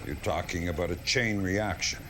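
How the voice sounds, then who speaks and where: A man's voice: low voice, normal speed, noisy background, close to an everyday voice. An actor playing a grave, seasoned general in a game cutscene, briefing his commander with direct authority. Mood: alarmed, commanding.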